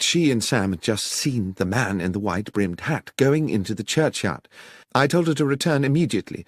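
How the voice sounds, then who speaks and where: Guy doing an earnest village vicar in an audiobook, formal and wordy, lively pitch, super dry sound. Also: no room sound, natural voice. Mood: earnest, explanatory. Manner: formal, wordy, precise storytelling. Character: earnest village vicar recounting a theft to detectives. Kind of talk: audiobook character dialogue.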